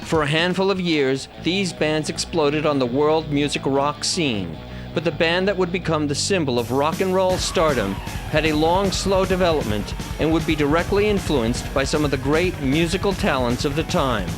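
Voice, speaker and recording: Man, somewhat high voice, scripted documentary narration, clear music bed. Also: expressive, moderate pace.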